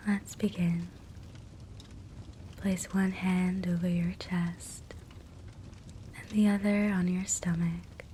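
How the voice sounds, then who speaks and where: A woman guiding a sleep hypnosis meditation in a soft, breathy whisper, gentle and soothing. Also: on the low side, takes it slowly, pauses often. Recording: soft steady fireplace crackle noise. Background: fireplace ambience.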